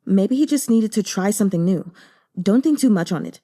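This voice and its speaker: A woman voicing a composed young noblewoman who speaks in a low, bland, controlled way. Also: lively intonation, a little fast, mellow, no room sound, studio quality. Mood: calm.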